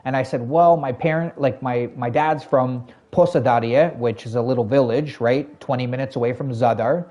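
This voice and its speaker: Casual Canadian male streamer, warm dark tone, chatty storyteller with fillers. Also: medium pitch, moderate pace, hardly any pauses, muffled recording. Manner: rambling, lots of fillers and self-corrections, talks to chat. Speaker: game streamer. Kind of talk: casual storytelling to a live chat.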